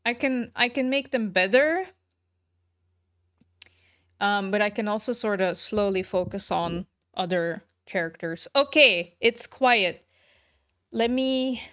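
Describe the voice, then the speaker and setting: A woman's voice: mid-range voice, clean tone, dry recording, clean studio sound. A woman game streamer chatting casually with her viewers. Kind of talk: casual livestream chat. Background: soft background music.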